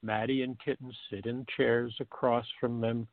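Gravelly man narrating, tinny sound. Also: medium pitch, flat delivery, moderate pace, dry recording. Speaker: narrator of a radio play. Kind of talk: scripted narration of a farce. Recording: tinny, narrow phone-like sound.

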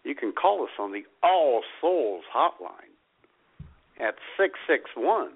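A man's voice: medium pitch, very animated, slow, slightly rough. A man co-hosting a radio show in casual banter, with a wry, joking way of talking. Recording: thin phone-like audio.

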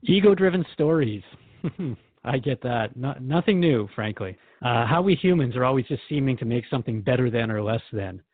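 A man's voice: medium pitch, lively intonation, moderate pace, no room sound, narrow, tinny audio. A man hosting a conversational radio interview, speaking informally and reflectively, with some hesitancy as he thinks aloud. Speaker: radio show host and interviewer.

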